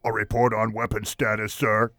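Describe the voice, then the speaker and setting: A man playing a surly, gruff weapons officer in a comedic radio play, clipped and blunt in his reports. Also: on the low side, completely flat delivery, normal speed, pushed and bright, dry recording. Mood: grim. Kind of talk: comedic radio theatre parody acting.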